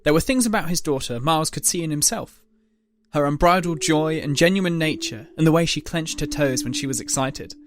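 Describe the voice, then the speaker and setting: A man's voice: a little high, brisk. A man narrating a scripted dramatic story as the narrator of an audio drama.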